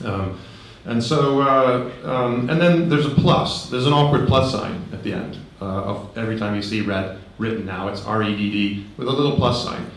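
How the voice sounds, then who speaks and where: A man giving a relaxed conference talk, conversational, with frequent ums and light humor, into a handheld microphone. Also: medium pitch, normal speed, gravelly, few pauses. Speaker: conference speaker. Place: large conference hall. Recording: very echoey hall.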